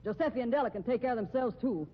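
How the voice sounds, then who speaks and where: A woman's voice: fairly high pitch, fast, breathy edge. A bearded lady from a circus sideshow in a 1950s radio play. She frets and complains earnestly on behalf of others. The old recording sounds slightly dull. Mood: fretful. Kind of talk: 1950s radio drama acting.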